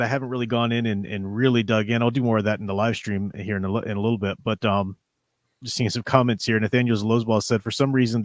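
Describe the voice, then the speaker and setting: A man's voice: lowish voice, dry recording, clean studio sound. A man co-hosting a casual livestream discussion, explaining things in a rambling, conversational way. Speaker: livestream co-host. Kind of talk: casual book and comics discussion.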